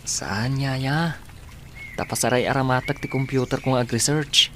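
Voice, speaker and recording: Gentle young male student. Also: mid-range voice, steady tone, a little slow, a little hiss or hum. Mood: reassuring. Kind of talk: Ilocano radio drama acting.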